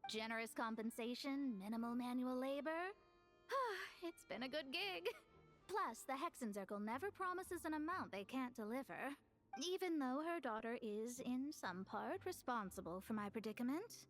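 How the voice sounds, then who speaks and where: High-voiced, sly, haughty fortune-teller. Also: female voice, expressive, moderate pace, faint music behind. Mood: matter-of-fact. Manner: teasing, self-assured, businesslike. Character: sly, mercenary young woman fortune-teller, haughty and dramatic. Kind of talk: video game character acting.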